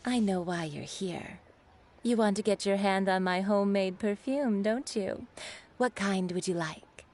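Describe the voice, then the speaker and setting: Actress as flirtatious, teasing perfume maker. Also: female voice, mid-range voice, unhurried, a little mellow, lightly acted. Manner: coy, playful, knowing. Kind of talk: video game voice acting. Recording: music behind the voice.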